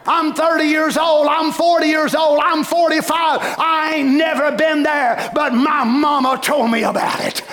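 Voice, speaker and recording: An older man preaching, voicing a weary male exile heading to a homeland he has never seen, in a plain and earnest way, shouting. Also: very high voice, steady tone, unhurried, few pauses, roomy sound.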